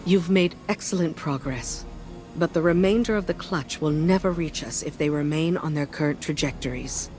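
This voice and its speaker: An actress playing a weary, guilt-ridden female advisor who briefs in a measured, earnest way. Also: low voice, darkish tone, soft background music, natural voice.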